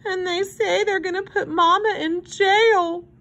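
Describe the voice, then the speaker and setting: A woman voicing anxious young children, earnest and pleading, in an expressive children's storybook reading. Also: very high-pitched, measured pace, lo-fi sound, pitched above the performer's own voice, exaggerated voice. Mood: tearful, pleading. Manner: plaintive, earnest.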